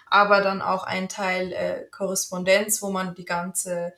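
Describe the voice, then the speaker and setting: A woman's voice: medium pitch, little pitch movement, measured pace, creaky, bright, forceful tone, reverberant. A young woman whose first language is Italian, chatting casually with many fillers as an interview guest. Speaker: interview guest, office assistant and freelance translator.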